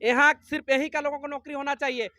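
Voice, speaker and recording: A man's voice: extremely high pitch, little pitch movement, fast, bright, forceful tone, dry recording, studio quality. A man with a Jharkhand accent, a farm worker being interviewed, making an impassioned political appeal in an insistent, emphatic way with repeated phrases.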